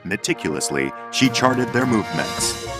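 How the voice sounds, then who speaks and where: A man delivering scripted documentary narration in a measured, deliberate manner. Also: on the low side, very animated. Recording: music under the voice. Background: background music.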